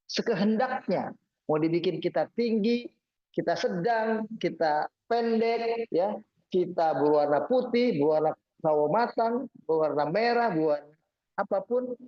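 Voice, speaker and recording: Man, mosque preacher, high pitch, husky, explanatory, recites verses then translates. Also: muffled recording. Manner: explanatory, repeats points with "ya" and "nah". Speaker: Islamic preacher giving Quran commentary. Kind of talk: religious study lecture.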